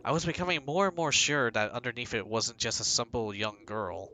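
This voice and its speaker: A man's voice: mid-range voice, fairly quick, pushed and bright, a little hiss or hum. A male streamer reading a game's text aloud and breaking off for joking, rambling asides.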